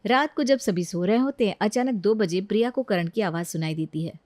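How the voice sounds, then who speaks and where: A woman's voice: medium pitch, a little fast, darkish tone, no echo, clean studio sound. A woman narrating a scripted story expressively as a storyteller.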